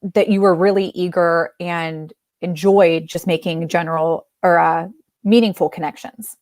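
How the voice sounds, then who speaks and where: A woman from Ohio chats as a guest in a friendly, easygoing way, laughing often. Her voice sits at a middle pitch and sounds close and dry, with no room echo. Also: steady tone, a little slow, a little mellow. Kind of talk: casual chat.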